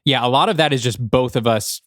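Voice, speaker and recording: A man's voice: medium pitch, fairly quick, fairly bright tone, no room sound, studio quality. A man co-hosting a podcast, chatting casually about writing and rambling as he thinks aloud. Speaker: podcast co-host and writer. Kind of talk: casual behind-the-scenes podcast chat.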